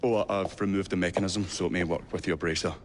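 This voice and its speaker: A man's voice: sits low, fairly even intonation, fast talker, big-room sound, a little hiss or hum, close to an everyday voice. A man voicing a flustered, fussy, polite Victorian inventor who stammers in a game cutscene. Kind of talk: video game cutscene acting.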